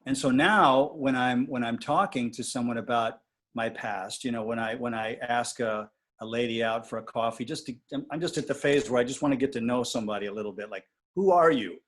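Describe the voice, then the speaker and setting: A man talking reflectively in a casual conversation with a dating coach, pausing and restarting often. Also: mid-range voice, fairly bright tone. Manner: reflective, halting with many restarts and fillers.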